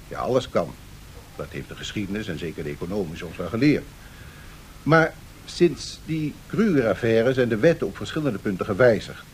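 An actor playing a learned economics professor, speaking formally and deliberately, explaining and cautioning in a radio play. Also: male voice, darkish tone, rough recording. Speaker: professor answering questions. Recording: 1970s radio recording with faint rumbling noise.

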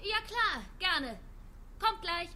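An actress playing an obliging, unabashed young secretary, speaking softly and without hurry in a radio drama. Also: female voice, very high voice, sing-song, slow pace, full character voice. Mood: sweetly obliging. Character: compliant, unabashed young female secretary, doctoral student.

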